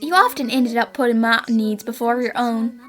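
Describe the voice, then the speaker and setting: A woman playing an earnest, devoted young adult daughter, speaking sincerely and gently in an animated drama fan dub. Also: a little high, normal speed, soft background music, lightly acted. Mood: tender, grateful.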